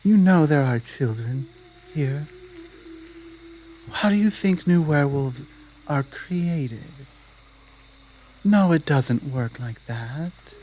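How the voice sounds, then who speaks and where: A woman playing a dominant, menacing female werewolf pack leader in a slow roleplay ASMR drama, speaking deliberately and with taunting command. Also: deep voice, animated, dark tone, slight background noise, low-quality recording. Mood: indignant, protective.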